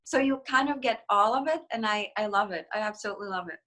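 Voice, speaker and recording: Young woman violinist from the Czech Republic, bright and clear, animated and chatty in an interview. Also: mid-range voice, quick pace. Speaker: violinist and festival artistic director. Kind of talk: casual interview conversation.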